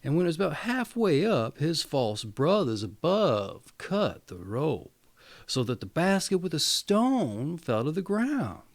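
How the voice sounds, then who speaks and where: A man's voice: fairly high pitch, very animated, unhurried, dry recording. A man reading a fairy tale aloud as a storyteller, with theatrical, emphatic delivery. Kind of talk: expressive scripted fairy-tale narration.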